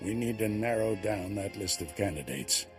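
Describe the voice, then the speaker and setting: An actor playing a decisive Jedi ally in a video game cutscene, commanding and dryly humorous. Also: male voice, fairly low pitch, expressive, normal speed, over music, natural voice. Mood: resolute. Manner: commanding, dry.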